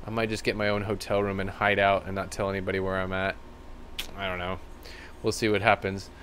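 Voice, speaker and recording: A man's voice: sits low, monotone, a little fast, a bit bright, a little hiss or hum. Man chatting loosely on a livestream. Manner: rambling, friendly, thanks viewers by name. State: slightly rough, tired voice. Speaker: livestream host. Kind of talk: casual live-stream chat reading comments.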